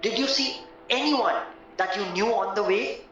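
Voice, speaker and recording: Guy playing a careful doubting solicitor, formal, kinda high voice, audio play, muffled and super echoey. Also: normal speed, fairly bright tone. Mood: grave, doubting. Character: sober, cautious, polite male solicitor, gently doubting. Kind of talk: stage-style audio drama acting. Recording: very echoey, muffled, distant, music underneath.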